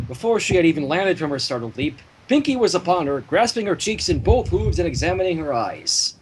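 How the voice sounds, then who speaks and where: A man reads a fan story aloud in an animated, swinging delivery, dropping in casual asides. The recording carries faint noise and small clicks. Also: a little high, fairly quick. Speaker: fan fiction reader and streamer.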